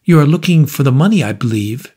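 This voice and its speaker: Storybook devilish gentleman, performed by a male narrator: unruffled, polite, formal, quietly firm. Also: mid-range voice, expressive, measured pace, breathy edge. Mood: polite, cautioning. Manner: pleasant, courteous, composed, formal.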